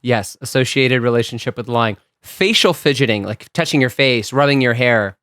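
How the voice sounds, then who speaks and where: A man's voice: mid-range voice, normal speed, fairly bright tone, clear, resonant tone, no room sound, studio quality. A man co-hosting a casual podcast, reading quiz items off a list and laughing easily. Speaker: podcast co-host running a quiz.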